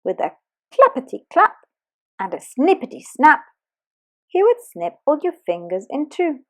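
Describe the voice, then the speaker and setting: A woman reads a rhyming children's picture book aloud with a sing-song lilt. Her voice is fairly high and swoops widely, and she takes her time over each line. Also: sing-song, a little slow, a bit bright. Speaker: storybook reader.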